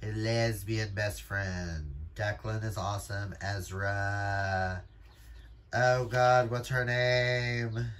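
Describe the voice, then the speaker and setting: A man's voice: lowish voice, flat delivery, extremely slow, a bit bright, a little hiss or hum. A man chatting casually as a book vlogger, in a gushing, emphatic and exclamatory manner. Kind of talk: casual chat to camera.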